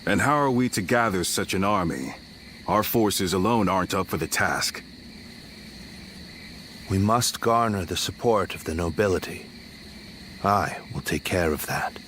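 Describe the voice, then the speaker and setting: A man playing a steady, determined warrior of ancient China in a video game, measured and composed. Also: deep voice, a little hiss or hum. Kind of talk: video game dub acting.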